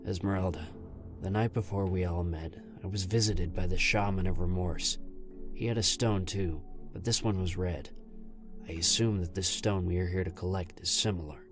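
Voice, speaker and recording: A low-pitched dog-man fighter, acted by a man for a fantasy audio story. He is weary and earnest, with an impatient streak. Music plays clearly behind him. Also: steady tone, moderate pace, lightly acted. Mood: earnest, subdued.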